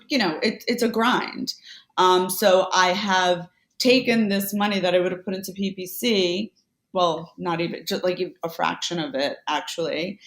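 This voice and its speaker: A woman guest on a business podcast, talking casually about selling online, with quick asides and self-corrections. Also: lowish voice, echoey room. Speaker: podcast guest, Amazon seller. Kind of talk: casual conversational interview.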